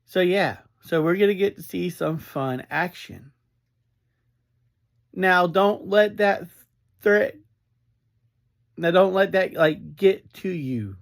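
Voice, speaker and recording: A man giving a casual fan review as a solo podcast reviewer, speaking in halting, broken phrases. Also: high voice, vocal fry.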